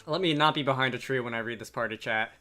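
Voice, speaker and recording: A man's voice: medium pitch, fast, sharp tone. A man giving casual game commentary and reading dialogue aloud, with dry, joking asides. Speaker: let's play video creator. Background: game music.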